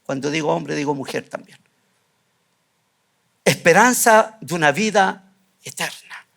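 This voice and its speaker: A pastor with a high, light voice delivers a fervent sermon in a church, repeating key phrases and pausing for emphasis. He drops softer and lower at times, then builds again. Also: animated, creaky. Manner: fervent, repetitive preaching with emphatic pauses. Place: church sanctuary.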